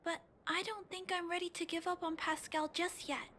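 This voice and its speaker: An actress playing a gentle, earnest young girl in a video game, speaking softly and politely. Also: extremely high pitch, level tone, moderate pace, slight background noise. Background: game music.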